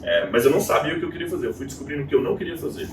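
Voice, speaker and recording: A Brazilian man in his thirties tells his story as an interview guest, acting out voices in quotes. He sits back from the mic in a reverberant room. A steady noise runs underneath. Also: a little high, racing. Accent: Brazilian Portuguese. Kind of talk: casual interview chat. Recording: echoey room, distant mic, noisy.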